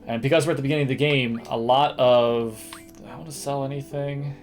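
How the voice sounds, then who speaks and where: A man's voice: mid-range voice, fairly even intonation, a little fast. A man giving casual video game commentary, thinking aloud and now and then humming little nonsense tunes. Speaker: video game streamer and speedrunner. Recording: game music behind the voice. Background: video game music.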